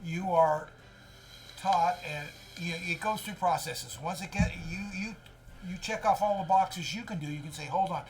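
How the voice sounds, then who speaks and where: A man with a slightly high voice chats as a co-host. His delivery is plain-spoken and a little halting, leaning hard on the points he wants to make in a casual, opinionated discussion. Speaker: co-host of a livestream news show.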